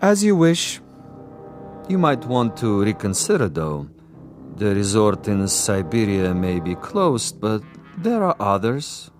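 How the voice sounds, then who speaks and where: Haughty soviet commissar villain, slow n lowish, condescending, radio drama w/ music under it. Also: male voice, big pitch swings. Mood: coolly menacing. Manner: condescending, theatrical, deliberate. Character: suave, sadistic, haughty male Soviet commissar and assassin.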